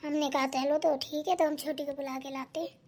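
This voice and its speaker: Casting: very high, girlish village housewife for a family cartoon , voiced by a woman, gossipy and full of complaints. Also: fairly even intonation, brisk, a little mellow. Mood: complaining. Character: chatty village daughters-in-law and nagging mother-in-law, grumbling.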